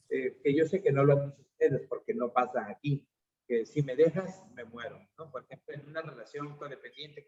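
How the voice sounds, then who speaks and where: A man's voice: a little high, a little mellow, dull audio. A man giving an online seminar on addiction counseling, explaining concepts step by step with frequent fillers. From Mexico. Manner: explanatory, uses fillers like "este".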